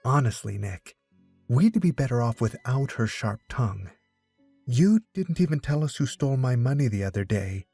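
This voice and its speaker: Man as a grudging schoolboy, mellow-toned. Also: medium pitch, expressive, normal speed, creaky, husky, no room sound, faint music behind. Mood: grumbling. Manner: complaining, grudging. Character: grumbling wary schoolboy, teenage amateur detective. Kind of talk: audiobook character dialogue.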